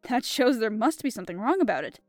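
A woman's voice: fairly high pitch, big pitch swings, quick pace, no echo, soft background music. A woman reading aloud and voicing an earnest, eager-to-impress male army captain.

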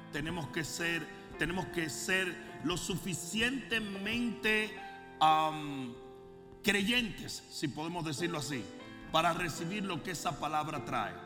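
A man preaching as a bishop, moving from calm storytelling to fervent, shouted prayer. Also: high voice, long reverb tail. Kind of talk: sermon and prayer.

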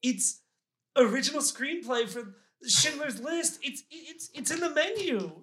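A woman's voice: sits high, expressive, unhurried, sharp tone, a little hiss or hum, pitched above the performer's own voice, heavily acted. A woman playing an astonished, uneasy restaurant diner, speaking incredulously in a comedy sketch read. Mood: amazed. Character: astonished young female diner, uneasy among friends.

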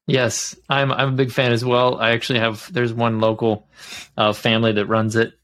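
A man's voice: medium pitch, little pitch movement, normal speed, a bit bright. A man hosting a podcast, chatty and rambling, laughing often as he asks questions. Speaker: podcast host. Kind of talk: casual podcast chat.

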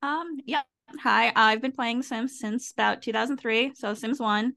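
A woman's voice: fairly high pitch, unhurried, creaky, bright, forceful tone. A woman guest on a casual podcast, easygoing and giggly. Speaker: podcast guest and co-host of another podcast. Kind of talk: casual chat.

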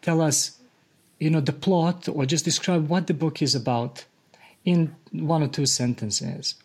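A man from Bosnia hosts a conversational podcast interview, searching for words with frequent pauses. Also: on the high side, husky. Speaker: podcast host.

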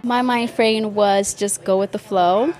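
A woman with a Dominican accent recounts her travels in a relaxed, chatty way. She speaks frankly as a podcast guest. Music plays in the background of the bar. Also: mid-range voice, a little slow. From Dominican Republic. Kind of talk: casual storytelling.

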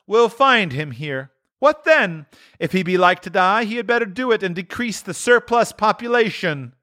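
A man voicing a solemn, stern male Christmas spirit who speaks gravely and rebukingly. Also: high voice, expressive, moderate pace, pushed and bright, voice pushed up.

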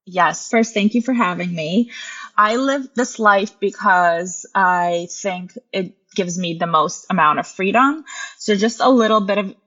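A young adult woman from Russia, the guest on a podcast interview, talking through her story in a relaxed, earnest way with some fillers. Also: mid-range voice, unhurried, dull audio.

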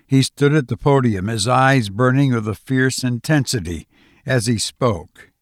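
A man reading a scripted, dramatic audiobook story in a measured, deliberate way. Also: mid-range voice, no room sound, studio quality. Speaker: audiobook narrator.

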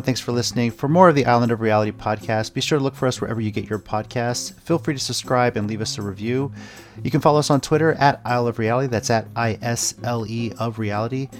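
A man's voice: mid-range voice, fast, soft background music. A man hosting a podcast in a casual, chatty style. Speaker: podcast host.

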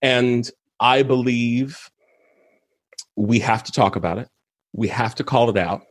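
A man's voice: mid-range voice, measured pace, no room sound. A man in his thirties, a guest in a casual conversation, talking earnestly and laughing easily. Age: 30s.

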